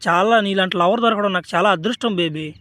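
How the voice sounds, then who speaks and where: A man's voice: sits high, fast, pushed and bright, no room sound, studio quality. A man voicing a cocky, teasing young village rogue in slangy, brash comedy cartoon style. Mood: excited, smitten. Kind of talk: amateur comedy cartoon voice acting.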